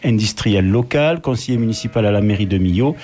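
A man's voice: lowish voice, a little fast, warm tone. Wry older man reading a radio column. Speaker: radio columnist telling a personal story.

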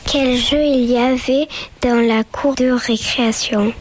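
A woman's voice: on the high side, steady tone, unhurried, low-quality recording. A female pupil reading out prepared interview questions carefully. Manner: careful, read-aloud questions.

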